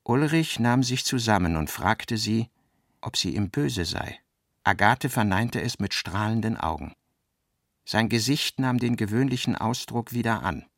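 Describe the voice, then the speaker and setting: Creaky-voiced man reading literary narration. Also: lowish voice, lively intonation, unhurried, fairly bright tone, no echo, studio quality. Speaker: narrator, reader of literary text and notes. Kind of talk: scripted literary narration for a radio play.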